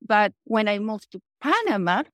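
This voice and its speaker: A woman's voice: mid-range voice, big pitch swings, normal speed, a bit bright, dry recording. A woman in her forties from Venezuela with a Venezuelan Spanish accent, speaking English as a second language as a confident podcast guest who keeps adding "okay". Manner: confident, says "okay" often, self-corrects. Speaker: guest entrepreneur. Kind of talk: podcast interview.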